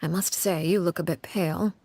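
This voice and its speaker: A woman's voice: on the low side, fairly even intonation, fairly quick, creaky, warm tone, no echo. An actress playing a poised, coolly observant, authoritative woman in a video game, formal and probing. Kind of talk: video game character acting.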